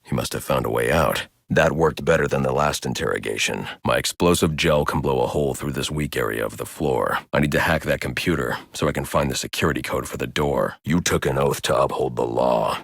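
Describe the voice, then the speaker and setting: An actor voicing a grim, driven masked vigilante detective in a video game, with a gravelly deep voice and terse, stern delivery. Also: male voice, a little fast, hardly any pauses, a bit bright, no echo, clean studio sound, exaggerated voice. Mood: cold, menacing. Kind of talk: video game voice lines, scripted hero dialogue.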